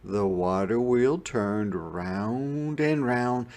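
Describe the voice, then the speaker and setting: A man narrating a children's picture book in a deliberate, expressive storytelling manner. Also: medium pitch. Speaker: storybook reader. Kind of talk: scripted children's read-aloud narration.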